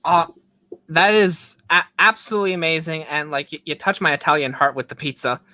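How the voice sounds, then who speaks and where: A woman hosts a relaxed interview in a bright, fairly low-pitched voice. Her questions wander and are filled with "like," and the sound is dry and close. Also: brisk, no echo. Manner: rambling questions full of "like". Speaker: podcast host.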